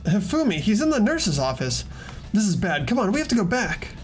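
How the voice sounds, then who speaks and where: A woman playing an earnest, determined teenage investigator in an amateur game dub, expressive in dialogue and inner narration. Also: mid-range voice, a little fast, faint music behind, natural voice. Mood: urgent. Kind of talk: scripted amateur visual novel voice acting and inner narration.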